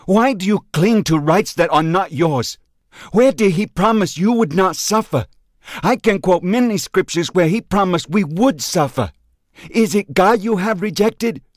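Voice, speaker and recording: Calm formal Chinese man, lectures earnestly, as a narrator puts him on. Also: sits high, a little slow, no echo, studio quality, voice pushed up. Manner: formal, no contractions, preaching.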